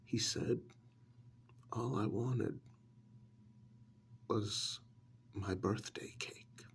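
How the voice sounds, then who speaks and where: Slow, hushed man telling an ASMR story. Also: fairly low pitch, fairly even intonation, a little hiss or hum. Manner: hushed, often dropping into whisper; halting, deliberate phrasing with long pauses. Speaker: ASMR role-play performer. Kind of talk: soft-spoken ASMR storytelling.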